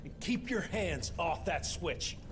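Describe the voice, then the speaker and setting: An actor playing a hot-headed, determined young western agent in a video game cutscene. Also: male voice, fairly high pitch, animated, slow, noisy background. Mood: urgent.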